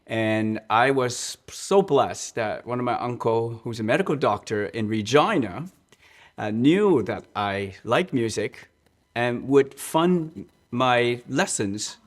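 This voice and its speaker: A man's voice: mid-range voice, animated. Earnest man, church music director. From Hong Kong. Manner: earnest, restarts and repeats words, slows on key points. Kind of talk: interview, personal storytelling.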